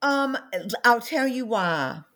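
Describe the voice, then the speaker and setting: An older woman with a Southern US accent, a founder interviewed about her book, speaking in a measured way with many pauses and fillers. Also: on the high side, expressive, fairly bright tone. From North Carolina. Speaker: author and company founder. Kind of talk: interview conversation.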